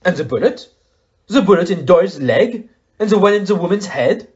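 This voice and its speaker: A man playing a fussy, courteous middle-aged detective with a French accent and a theatrical, deliberate manner in an audio play. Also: high voice, expressive, a little mellow, lo-fi sound. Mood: probing.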